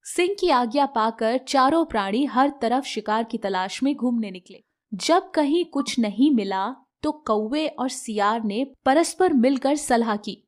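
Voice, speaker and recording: Female narrator, high register, scripted storytelling for children's fables. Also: expressive, unhurried.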